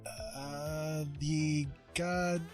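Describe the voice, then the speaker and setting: A man hosting a casual livestream, chatting and explaining things in halting, carefully pieced-together phrases. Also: fairly high pitch, flat delivery, a little mellow, faint music behind. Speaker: art livestream host.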